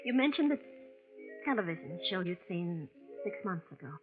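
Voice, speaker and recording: An actress playing an earnest young nurse, gentle but firm, in a vintage radio drama. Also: female voice, lowish voice, big pitch swings, normal speed. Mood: pleading. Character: young woman nurse, earnest, lovestruck, suspicious. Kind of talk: 1940s radio acting. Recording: tinny old radio broadcast, loud music.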